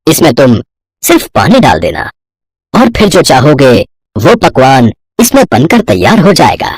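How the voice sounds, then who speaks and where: A man playing a kindly old wandering holy man in an animated moral story, solemn and measured. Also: mid-range voice, no echo.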